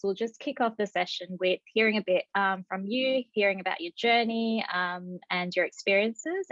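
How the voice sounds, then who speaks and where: A woman's voice: mid-range voice, fairly bright tone, dry recording. A woman hosting a webinar, introducing the guest and explaining the session with a few fillers. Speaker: webinar host. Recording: dry, dull lo-fi sound.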